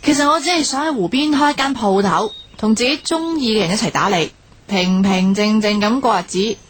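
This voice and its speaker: An actress playing a studious, dreamy young graduate in a radio drama. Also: female voice, medium pitch, a little fast, dry recording, lightly acted. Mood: wistful. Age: young adult. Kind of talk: Cantonese radio drama acting.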